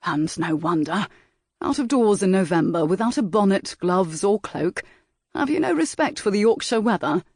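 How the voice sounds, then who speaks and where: A woman reading the lines of a gruff, sardonic young gentleman in an audiobook. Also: high-pitched, lively intonation, normal speed, dry recording, clean studio sound. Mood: scolding. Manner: gruff, brusque.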